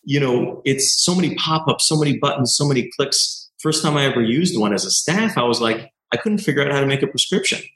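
A doctor and founder in his thirties, telling stories in an animated way and acting out quoted exchanges, with lots of "you know" fillers. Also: medium pitch, fast, hardly any pauses, reverberant, poor audio. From Canada. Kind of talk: conversational interview answers.

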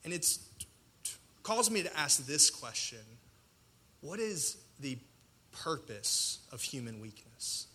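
Bright-voiced male preacher with a slight stutter. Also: fairly high pitch, sing-song, slightly rough. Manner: colloquial, self-deprecating, dramatic pauses and emphasis. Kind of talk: personal testimony sermon to an audience.

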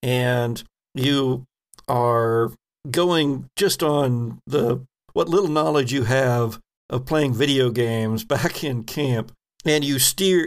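Slow, creaky-voiced man narrating as game master. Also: medium pitch. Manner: halting, descriptive storytelling. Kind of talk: improvised narration of a tabletop game.

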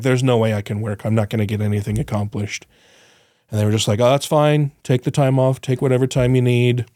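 A man's voice: mid-range voice, a little mellow, no room sound. Congested guy on a podcast, kinda nasal, rambly and sweary, laughs a lot, dry close mic. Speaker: podcast co-host. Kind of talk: casual chat.

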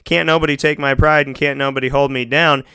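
A man's voice: medium pitch, little pitch movement, a little fast, pushed and bright, smooth, dry recording, studio quality. An American man hosting a casual radio show, talking in a rambling, emotional, self-interrupting way.

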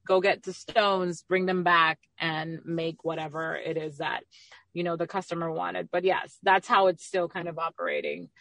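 A woman's voice: on the low side, expressive, normal speed, a bit bright, no room sound. A middle-aged woman from the Dominican Republic talking candidly and at length as a guest in a conversational interview. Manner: talkative, candid. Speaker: interview guest, magazine owner and entrepreneur.

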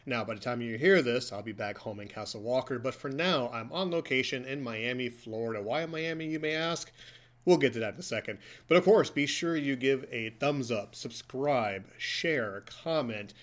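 A man's voice: mid-range voice, moderate pace. A man in his forties hosts a casual podcast, chatting and ribbing his co-host in a mid-range voice. He talks from a hotel room in an easygoing, joking way. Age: 40s.

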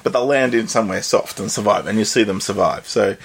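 A man's voice: lowish voice, fairly quick, creaky, slightly rough. A man co-hosting a podcast, chatting casually and rambling with lots of restarts. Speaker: podcast co-host. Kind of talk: casual chat.

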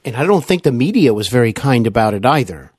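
A man's voice: medium pitch, sing-song, fast talker, darkish tone, slightly rough, dry recording, clean studio sound. A man hosting a podcast interview conversationally, polite, agreeable and a little tentative.

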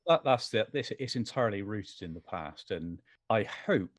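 A man with a slightly low voice talks casually as an interview guest. He is polite and a little hesitant, and the recording is very dry. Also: lively intonation, normal speed, no echo. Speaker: guest, voice actor.

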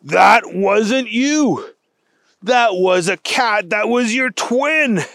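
A man's voice: very high-pitched, slow pace, fairly bright tone, no echo, voice pushed up, exaggerated voice. A man voicing an earnest, confused and hapless special agent in a children's story. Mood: dismayed.

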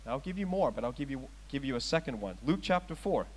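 A man's voice: medium pitch, sing-song, fast, slight background noise, low-quality recording. A middle-aged man preaching a sermon as pastor, emphatic and asking his congregation rhetorical questions. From Thailand. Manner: emphatic, rising loud on scripture readings and questions.

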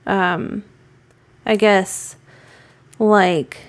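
A woman's voice: medium pitch, steady tone, drawn-out words. A woman from New England, co-hosting a podcast and chatting casually, rambling with plenty of fillers and offhand swearing. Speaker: podcast co-host. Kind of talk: casual chat.

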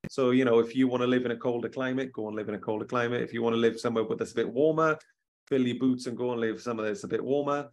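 Man, mid-pitched, rambling and casual, podcast guest. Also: fairly even intonation, racing. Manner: rambling, many ums and restarts. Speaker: guest, spiritual mentor. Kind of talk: casual conversational interview.

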